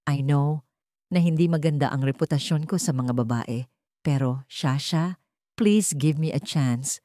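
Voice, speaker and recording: A woman's voice: low-pitched, unhurried, mellow, no room sound, studio quality. A woman voicing an earnest, tender young male suitor pleading his love in a romance audio story.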